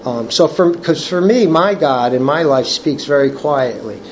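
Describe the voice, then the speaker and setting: An older man leading a recovery study group, reading from the book and sharing personal testimony in a halting, earnest way with many restarts. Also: fairly high pitch, lively intonation, a little hiss or hum. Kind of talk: casual talk reading from a book.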